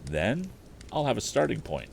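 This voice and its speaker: Man voicing an earnest young inventor, lively pitch. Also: moderate pace, gravelly, slight background noise, full character voice. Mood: eager, explaining. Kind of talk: acted audiobook dialogue.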